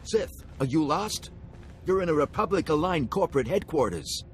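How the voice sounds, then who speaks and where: Man acting an officious, higher-voiced guard captain. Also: animated, normal speed, soft background music, lightly acted. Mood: officious bluster. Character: officious male guard captain, secretly deferential. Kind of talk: video game voice acting.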